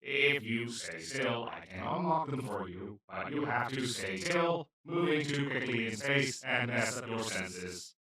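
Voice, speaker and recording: Heavy echo surrounds a kindly, well-meaning male alien, acted by a man, heard a little way off from the mic. His voice is bright and forward. He talks to the listener like a careful, awkward host in a comedy roleplay, earnest, formal and prone to rambling. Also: mid-range voice, expressive, a little slow, hardly any pauses. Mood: fussing, reassuring. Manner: gentle, earnest, apologetic, slightly formal and rambling. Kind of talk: scripted comedic audio roleplay, addressing the listener. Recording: roomy, echoing, distant-sounding voice.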